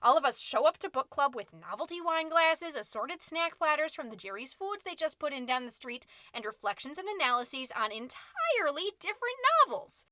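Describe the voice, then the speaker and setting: A self-important suburban mom, played by a woman, hosts her comedy podcast in a high, bright voice. She is perky and folksy, with fussy theatrical delivery. The sound is close and dry. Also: sing-song, moderate pace, few pauses, dry recording, full character voice. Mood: fussy, explaining. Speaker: podcast host. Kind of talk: scripted comedic monologue as a podcast.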